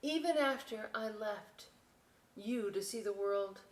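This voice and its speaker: A woman's voice: medium pitch, slow pace, a little hiss or hum, pitched above the performer's own voice, lightly acted. A woman voicing a brave, adventurous little female sailboat in a children's storybook, with an emotive, childlike delivery. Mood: reflective. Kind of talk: children's storybook read-aloud.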